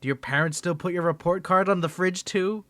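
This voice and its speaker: A man's voice: high voice, a little fast, a bit bright, dry recording. A man performing an ASMR roleplay to the listener, flustered and stammering, with defensive grumbling and bossy scolding outbursts.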